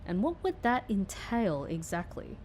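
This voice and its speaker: A woman's voice: very animated, a little slow, a little mellow. A woman playing a composed, wry female field agent who narrates and speaks in an audio drama. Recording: roomy echo, faint rumbling noise.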